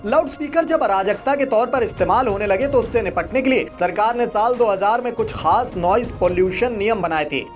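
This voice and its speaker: A man with a high-pitched voice narrates a news explainer. He reads from a script in the role of a video reporter, with music playing faintly behind him.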